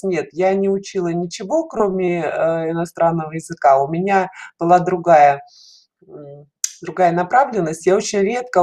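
A woman's voice: on the low side, darkish tone. A middle-aged woman chatting casually as a guest on an online show, with a self-ironic, giggly manner. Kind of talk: casual conversational interview. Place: indoor room, on a video call. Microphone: headset.

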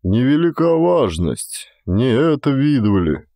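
An actor playing a burly, reckless young Cossack who mutters gruffly and offhandedly in an audiobook drama. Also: male voice, medium pitch, big pitch swings, a little slow, mellow, no echo, studio quality. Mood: gruff, dismissive.

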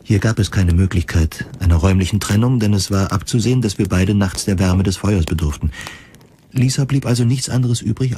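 A man's voice: on the low side, mellow, slight background noise. A man narrating a scripted first-person science fiction story for an audio drama.

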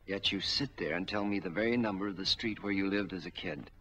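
An American actor playing a cold, vengeful middle-aged gangster in a 1940s film noir, speaking in a deliberate, threatening way. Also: male voice, sits low, fairly bright tone. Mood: menacing. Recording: dull, muffled 1940s film soundtrack.

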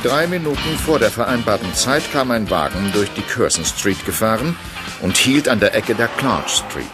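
An actor narrating a radio crime story in a sober, measured and precise storytelling manner. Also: male voice, fairly low pitch, expressive, music in the background, lightly acted. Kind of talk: scripted narration for radio play.

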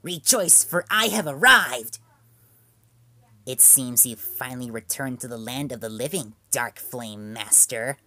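A man's voice: on the high side, sharp tone, no room sound, exaggerated voice. A man voicing a brash schoolgirl who speaks in grand, haughty declarations. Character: brash schoolgirl posing as a dark servant.

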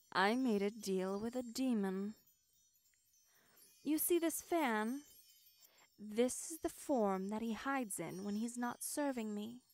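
Woman playing a slow, cruel witch queen. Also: a little high, a little mellow. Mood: gloating. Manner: haughty, deliberate, menacing. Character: cruel, power-hungry witch queen, gloating and haughty. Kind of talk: ASMR fantasy audio roleplay.

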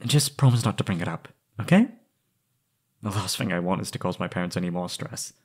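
A young man playing an anguished, lovesick former captive in an intimate roleplay audio, halting and pleading. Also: deep voice, animated, darkish tone, voice pushed down. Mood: relieved, tender. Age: young adult.

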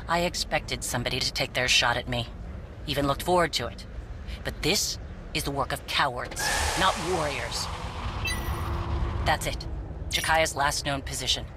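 A woman's voice: very deep voice, measured pace, long pauses, background noise. A woman playing a proud, resolute warrior leader in a video game, speaking firmly and with command. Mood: stern. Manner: firm, commanding. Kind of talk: dramatic video game acting. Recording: music behind dialogue.

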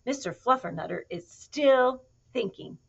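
A woman's voice: sits high, animated, a little slow, dull audio, voice pushed up, full character voice. A woman voicing a bossy, long-winded mother cat who lectures her kitten. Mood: lecturing. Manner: loud lecturing nag.

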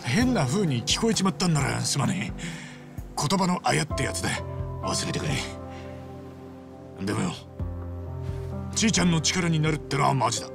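抑揚の激しい、荒っぽく人情味のある元ヤクザの男. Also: male voice, on the high side, very animated, normal speed, long pauses, over music. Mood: apologetic, sincere. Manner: rough, blunt, casual street speech. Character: rough, big-hearted, earnest former yakuza man. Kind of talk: video game cutscene drama acting.

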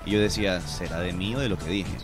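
A man's voice: deep voice, fast talker, music in the background. A man in his thirties chatting as a guest on a radio show, explaining things in a conversational way. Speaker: stand-up comedian. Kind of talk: conversational interview talk. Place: small radio studio. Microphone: studio microphone on boom arm.

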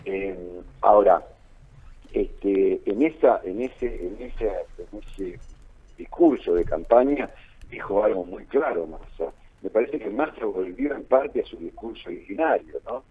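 Deep-voiced Argentine man on phone-like line. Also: lively intonation, unhurried, husky. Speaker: political analyst being interviewed. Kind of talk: radio interview analysis. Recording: narrow phone-like line, muffled.

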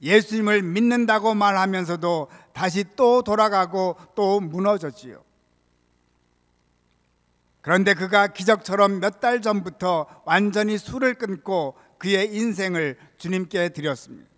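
An older man preaching a prepared sermon as a pastor, in a measured, solemn way, from a church pulpit microphone. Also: high-pitched, a bit bright. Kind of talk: sermon, read from prepared text. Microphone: gooseneck pulpit microphone. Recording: reverberant church hall sound.

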